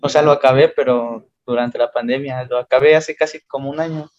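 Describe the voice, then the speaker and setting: A young man with a Mexican accent chatting casually with friends, relaxed and slangy, laughing often. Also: medium pitch, a little fast, highs cut off. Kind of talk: casual chat among friends.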